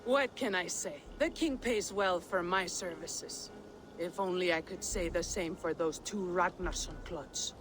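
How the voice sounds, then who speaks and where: Sly, slow-talking mercenary woman played by an actress. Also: lowish voice, noisy background, lightly acted. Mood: sly bargaining. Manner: teasing, drawling, theatrical. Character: sly, brazen, mercenary female camp leader. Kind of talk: dramatic video game acting.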